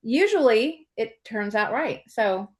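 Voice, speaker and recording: A woman with a somewhat high voice hosts a relaxed, conversational podcast interview. She is chatty and agreeable, wandering into loose asides as she talks. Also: slow pace. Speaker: podcast host and author.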